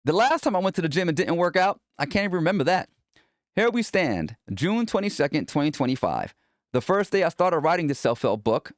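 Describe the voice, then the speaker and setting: Male author narrator, higher-pitched voice, animated intonation, conversational motivational delivery, self-help audiobook, dry close sound. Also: fairly quick, dry recording. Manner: conversational, motivational, direct address to listener. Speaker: author reading own book aloud.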